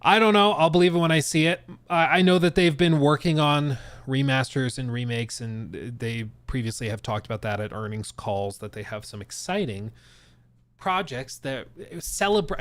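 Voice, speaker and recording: A man's voice: on the high side, moderate pace, dry recording. A man hosting a casual livestream about gaming news, talking in an informal, slangy, chatty way. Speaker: podcast host.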